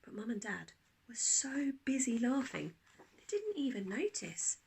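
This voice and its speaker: A woman narrating a children's storybook like a teacher reading aloud, expressive, with dramatic pauses. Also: fairly high pitch, husky.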